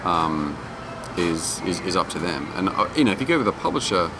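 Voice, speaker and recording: A man's voice: deep voice, sing-song, a little fast. A man being interviewed as an independent game developer, speaking casually and informally in rambling explanations. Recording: clearly noisy background hum.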